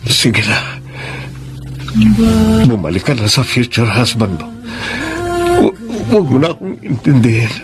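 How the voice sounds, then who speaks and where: A man with a Filipino accent playing a heartbroken, remorseful ex-husband in a radio drama, speaking haltingly and emotionally. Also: medium pitch, sing-song. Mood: anguished regret. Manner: halting, stammering, emotional delivery. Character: heartbroken, remorseful ex-husband confessing lost love. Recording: music under the voice.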